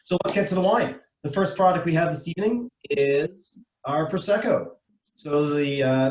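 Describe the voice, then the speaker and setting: A man's voice: on the high side, darkish tone. Echoey Canadian man presenting on a video call. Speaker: wine importer presenting a tasting. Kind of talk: informal educational presentation. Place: indoor room, online video meeting. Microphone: video call microphone.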